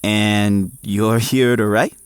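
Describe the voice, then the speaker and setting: An actor playing an eager, frazzled young male athlete, narrating his own story in an audio drama with expressive, at times hesitant delivery. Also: on the low side, slow pace, clear, resonant tone, dry recording, soft background music. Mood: puzzled, eager. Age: young adult. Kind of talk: first-person audio drama narration and dialogue.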